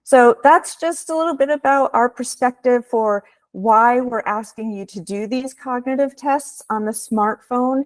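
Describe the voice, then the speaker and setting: Woman, researcher, measured and explanatory, dry close sound, video call. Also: a little high, no room sound. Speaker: researcher presenting a webinar. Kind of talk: webinar presentation.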